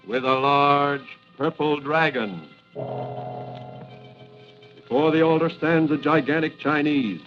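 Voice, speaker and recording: A man narrating an old-time radio adventure from a script in a grave, portentous, measured way. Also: medium pitch. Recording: muffled vintage radio recording.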